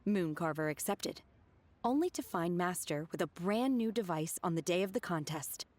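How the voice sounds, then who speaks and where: A woman playing a reserved, earnest young disciple in a video game, speaking in a measured, polite, plain way. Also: fairly low pitch, expressive, natural voice. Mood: calm, earnest.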